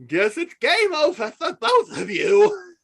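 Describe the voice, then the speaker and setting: A woman voicing a gleeful, power-mad monster villain in an animated film table read, rough-edged, theatrical and taunting. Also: extremely high pitch, takes it slowly, no room sound, clean studio sound, exaggerated voice. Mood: mocking cruelty. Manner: theatrical, taunting, swooping between phrases.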